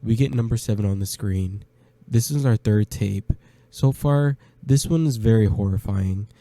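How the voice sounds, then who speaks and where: A man with a very dark, mellow tone walks through a horror game in casual voice-over commentary. His delivery is plain and conversational, with small stumbles, and his voice sits a little low. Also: moderate pace, no echo. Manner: plain, conversational, slightly hesitant. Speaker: gameplay commentator.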